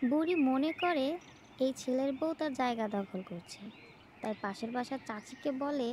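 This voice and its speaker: High-pitched woman story narrator. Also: lively intonation, moderate pace, background noise. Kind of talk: scripted narration of a comic family folk tale.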